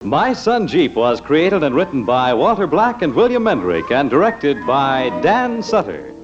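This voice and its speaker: Male 1950s radio announcer, lively and fairly high, scripted sign-off over music, muffled broadcast sound. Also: unhurried.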